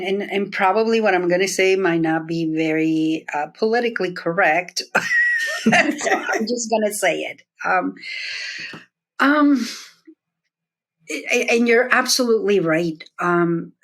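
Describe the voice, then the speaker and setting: Middle-aged woman, Puerto Rican-born, low voice, reflective, halting with fillers. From Puerto Rico, raised in New Jersey. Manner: warm, reflective, with frequent fillers and restarts. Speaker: nurse executive, guest. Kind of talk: interview conversation.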